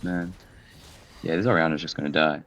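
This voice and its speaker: A hoarse man with a sore throat co-commentating an esports match in a laid-back, dryly joking way. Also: a bass voice, fairly even intonation, fairly quick, a bit bright. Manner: laid-back, dry banter.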